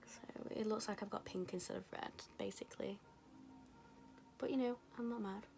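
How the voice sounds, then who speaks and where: A young woman, rambling casually through a makeup tutorial, her voice going hoarse. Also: mid-range voice, fairly even intonation, moderate pace, warm tone. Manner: chatty, rambling, lots of fillers. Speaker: beauty vlogger. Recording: music playing behind.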